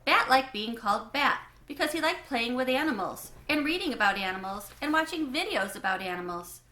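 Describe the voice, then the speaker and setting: A woman narrating a children's book in an expressive, animated way, with clear phrasing. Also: on the high side, a little fast, echoey room. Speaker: read-aloud host.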